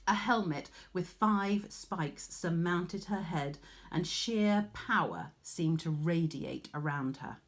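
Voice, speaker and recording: A woman's voice: fairly low pitch, takes it slowly. A woman with an English accent reading a story expressively to children, as a teacher would.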